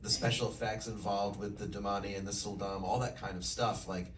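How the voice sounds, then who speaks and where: A man's voice: lowish voice, flat delivery, brisk, fairly bright tone, slight background noise. A man asking long, rambling questions at a live screening Q&A, full of fillers. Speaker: interviewer. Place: screening event hall. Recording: echoey room, distant mic.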